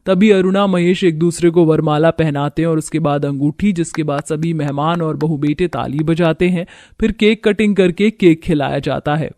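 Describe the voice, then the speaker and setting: A woman's voice: deep voice, normal speed, few pauses, mellow, no echo. A woman reading a scripted moral story as its narrator.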